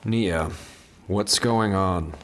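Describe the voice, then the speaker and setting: Casting: principled, caring friar, fantasy audio drama, low voice, gentle, deliberate, formal. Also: male voice, slight background noise. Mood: gently concerned. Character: kind, concerned, principled friar and loyal friend.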